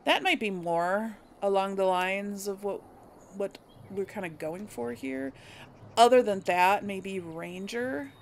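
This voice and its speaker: A woman with a creaky, mid-pitched voice talks casually through a video game. She thinks out loud, tentatively, with some noise and game ambience behind her. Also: takes it slowly. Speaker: gaming video host and player.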